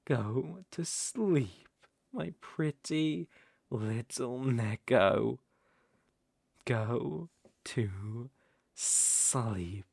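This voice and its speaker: A performer voicing a smooth-talking naga captor in an ASMR roleplay, doting and sweetly sinister, coaxing with drawn-out endearments. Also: mid-range voice, a little mellow, no echo, studio quality, heavily acted. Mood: possessive lulling. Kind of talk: ASMR roleplay, slow intimate storytelling.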